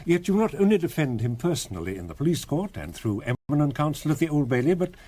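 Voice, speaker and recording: An actor playing a courteous, authoritative senior police commissioner in a radio drama, with a British accent and a measured, politely probing manner. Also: male voice, fairly high pitch, big pitch swings, mellow.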